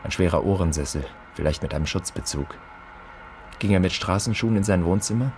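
An actor playing a pensive man taking stock of an empty flat, terse and questioning, in a radio drama. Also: low voice, fairly quick, a little hiss or hum, close to an everyday voice. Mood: pensive, searching. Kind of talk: literary radio drama monologue.

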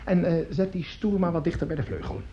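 An actor playing a grumpy, opinionated orchestra conductor in a Dutch radio play, blunt and exclamatory, muttering scornfully. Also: male voice, fairly high pitch, fast talker, natural voice. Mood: animated, rambling. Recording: dull, noisy old radio recording.

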